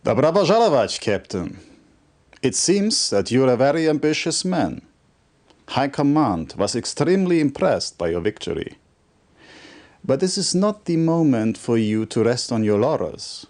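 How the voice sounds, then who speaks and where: Game cutscene role: a stern military commander, formal and authoritative, measured delivery with very lively intonation. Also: male voice, medium pitch. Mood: commanding. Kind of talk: video game cutscene acting, scripted briefing. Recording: compressed old game video audio.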